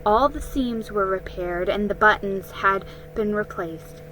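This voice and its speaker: Slow-paced woman reading a story aloud. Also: medium pitch, a bit bright, soft background music, poor audio. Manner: careful, halting reading with stumbles and re-reads. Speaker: storybook reader. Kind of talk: dramatic reading of a children's story.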